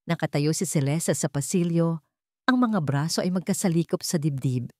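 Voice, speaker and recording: Low-voiced woman narrating a story. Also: normal speed, warm tone, no echo, studio quality. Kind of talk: dramatic scripted story narration.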